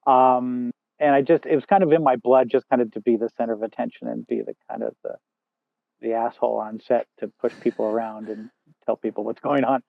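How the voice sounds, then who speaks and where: Booming-voiced man on a tinny line. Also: steady tone, fairly quick, gravelly, dry recording. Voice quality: big loud booming voice. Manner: rambling, frequent fillers and restarts. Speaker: podcast guest, first assistant director. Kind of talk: casual interview chat. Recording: dry tinny phone-like sound.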